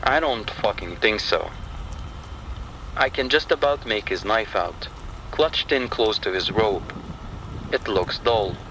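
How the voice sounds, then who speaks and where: A man performing a scripted first-person horror monologue in clipped, tense phrases. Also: fairly low pitch. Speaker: horror story narrator. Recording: faint rain and ambient noise. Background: rain and thunder sounds.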